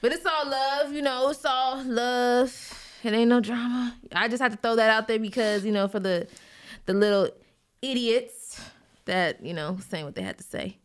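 A woman in her thirties with a squeaky voice, co-hosting a podcast in casual chat and laughing off teasing with many fillers. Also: moderate pace, clear, resonant tone. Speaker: podcast co-host.

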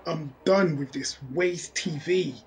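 An actor playing a restless recalled prisoner in an audio drama monologue, plain-spoken, slangy and driven to rebuild himself. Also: male voice, on the high side, slow, a little hiss or hum. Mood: determined, frustrated. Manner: plain-spoken, slangy, counting through exercise sets.

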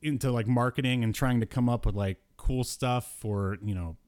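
A man's voice: medium pitch, normal speed, darkish tone, no echo. A man hosting a podcast, chatting casually in a joking, rambling, informal way. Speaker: podcast host. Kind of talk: casual pop-culture podcast banter.

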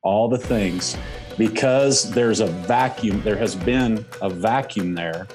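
Earnest man with a lower voice. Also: slow pace, music in the background. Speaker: podcast guest. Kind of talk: casual interview conversation.

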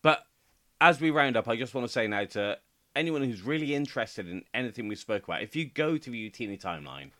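A man's voice: mid-range voice, expressive, quick pace, fairly bright tone. A man with a British accent co-hosting a casual podcast chat. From United Kingdom. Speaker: podcast co-host.

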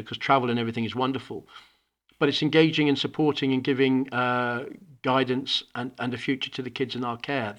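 A man's voice: medium pitch, fairly bright tone. A middle-aged man, a founding school principal, talking earnestly in a conversational podcast interview, with many restarts and repeated words. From UK and Hong Kong.

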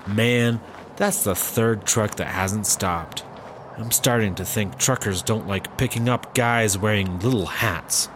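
A man's voice: on the low side, takes it slowly, background noise. A man playing a weary, incredulous squad-car partner, the dry straight man of a comic audio drama.

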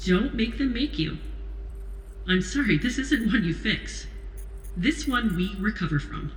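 A woman playing a stern, commanding president in an audio drama, speaking in a measured, authoritative way. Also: on the high side, lively intonation. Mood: firm, regretful. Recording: roomy echo, faint noise.

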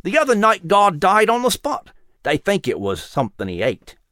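A brash, chatty prison guard from a storybook, voiced by a male narrator. His voice is high and swoops dramatically up and down, recorded very dry. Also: huge pitch swings, normal speed, bright, forceful tone, dry recording, full character voice.

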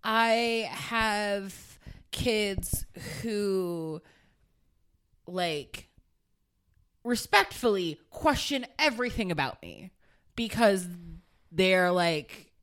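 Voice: mid-range voice, animated, drawn-out words, pauses often, a bit bright, clear, resonant tone. A person with a woman's voice chatting candidly and casually on a podcast as a co-host, swearing freely. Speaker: schoolteacher.

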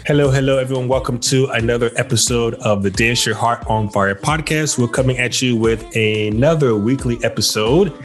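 A man's voice: medium pitch, moderate pace, few pauses, soft background music. Man from Texas hosting a casual podcast. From Texas, United States. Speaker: podcast host. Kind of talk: casual conversational interview.

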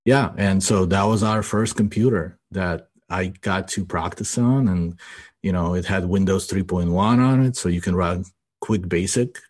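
A man's voice: deep voice, moderate pace, a little mellow. A man in his forties from Ukraine, a guest in a casual podcast interview, rambling and tagging his sentences with "you know" and "right?". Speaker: guest, IT business owner.

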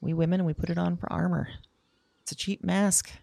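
A woman playing a weary, wry modern woman in an intimate audio drama monologue, speaking in a reflective, halting, confiding way. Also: fairly low pitch, flat delivery, creaky, dark tone. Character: weary, wry, candid modern woman recounting her dreams.

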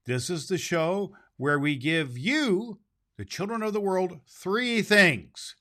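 A man's voice: high-pitched, expressive, takes it slowly, bright, forceful tone, heavily acted. A man performs as a jolly old Santa Claus hosting a children's holiday show. He is theatrical and jovial, a little full of himself. He talks directly to his listeners and leans on repeated words for emphasis. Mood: jolly, booming.

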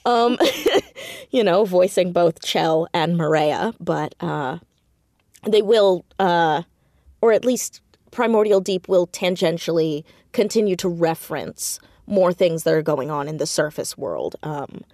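A woman with a slightly low voice talks casually as an interview guest. She is animated and self-deprecating, quick to laugh at herself. The sound is dry, with little room in it. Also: no room sound. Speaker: podcast guest, audio drama creator and writer.

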